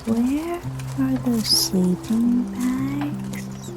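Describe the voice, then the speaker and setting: A woman playing a practical, gently questioning schoolgirl daughter in an audio drama. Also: sits high, very slow, over loud music, poor audio.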